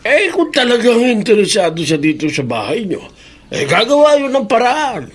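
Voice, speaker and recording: A Filipino man playing an indignant but compassionate elderly father with a husky voice in a radio drama. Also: extremely high pitch, sing-song, moderate pace, heavily acted. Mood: concerned, fatherly.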